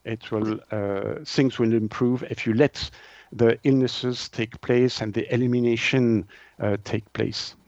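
Guy explaining stuff in an interview, searches for words, medium pitch, dry but kinda dull audio. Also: steady tone, darkish tone, dry recording. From Switzerland. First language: French. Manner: halting, hesitant delivery with word searching. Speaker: naturopath, interview guest.

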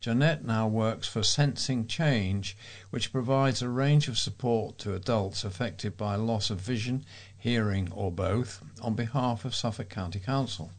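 A man reading local news aloud as a volunteer newsreader, in a measured way with explanatory asides. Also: lowish voice.

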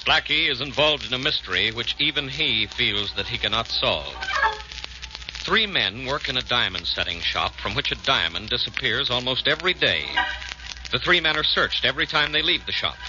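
Male announcer, expressive intonation, mid pitch, scripted 1940s radio narration, muffled sound with music behind. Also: normal speed.

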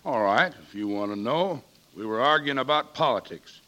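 An actor playing a defensive, evasive small-town American witness in a 1940s radio drama, talking in a guarded, colloquial way. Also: male voice, mid-range voice, expressive, normal speed, sharp tone.

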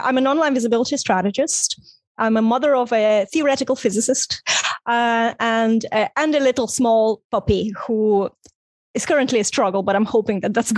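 A woman's voice: on the high side, no room sound. A middle-aged woman from Estonia, a native Russian speaker, talking conversationally as an interview guest. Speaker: guest, online visibility strategist and coach.

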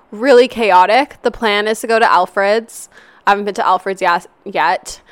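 A young woman from Canada hosting a casual solo podcast, her voice raspy from a cough, chatty and upbeat. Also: mid-range voice, a bit bright, no echo. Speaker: podcast host. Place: apartment while traveling. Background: faint traffic noise.

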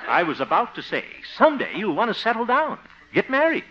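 A pompous, good-hearted sitcom man who is easily exasperated. His highish voice swings wildly as he blusters and grumbles under his breath. It sounds like a muffled 1950s radio comedy. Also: huge pitch swings, brisk. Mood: lecturing. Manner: blustering, muttered asides, frequent chuckles. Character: exasperated, pompous, good-hearted grown son and self-styled mentor. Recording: dull old-time radio recording.

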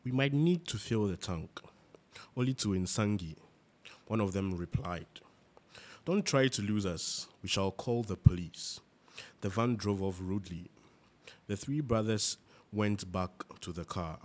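A man's voice: on the low side, measured pace, long pauses. A man reading literary fiction aloud, narration mixed with characters' dialogue. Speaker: reader.

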